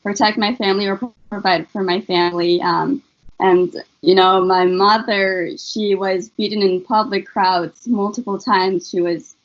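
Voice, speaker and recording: A woman's voice: mid-range voice, steady tone, a little slow, muffled recording. A young woman from Afghanistan, a student, earnestly answering interview questions about her life, with many filler words. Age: young adult. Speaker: student guest. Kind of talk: interview answers, personal story.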